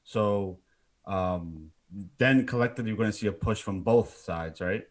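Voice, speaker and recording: A man's voice: on the low side, normal speed. A man with a British accent, a filmmaker co-hosting a podcast, speaking earnestly and casually with fillers. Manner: joking, then earnest and quieter. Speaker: filmmaker co-host.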